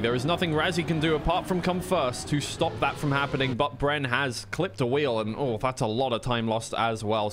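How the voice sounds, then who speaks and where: A man giving live commentary on a racing game in an informal, slightly flustered way, thinking aloud between calls. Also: mid-range voice. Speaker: esports commentator and streamer. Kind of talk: live racing game commentary mixed with casual stream chat. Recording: clear noise, game car engines behind.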